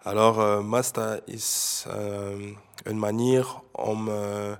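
A man's voice: lowish voice, flat delivery, extremely slow, vocal fry, smooth. A man from Belgium casually explaining slang words, hesitant and full of fillers, switching between French and Dutch. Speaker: interviewee.

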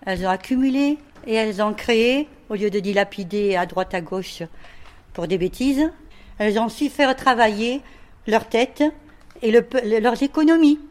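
A woman's voice: fairly high pitch, normal speed. A woman giving an impromptu opinion, arguing her point emphatically and in plain words. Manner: emphatic, argumentative, plain-spoken. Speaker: street interviewee.

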